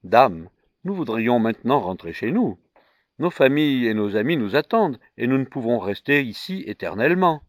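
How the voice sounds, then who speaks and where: A man's voice: medium pitch, sing-song, normal speed, natural voice. A man voicing a pair of attendant women who speak in an anxious, reproachful manner. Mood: weary. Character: two gossiping attendant women, fearful.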